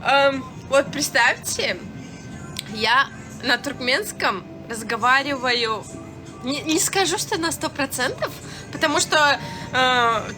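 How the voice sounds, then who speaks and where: Young woman, Turkmen accent, somewhat high voice, live-stream chat, frank and filler-heavy, music under her. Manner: answers viewers' comments, chatty and frank, many fillers and restarts.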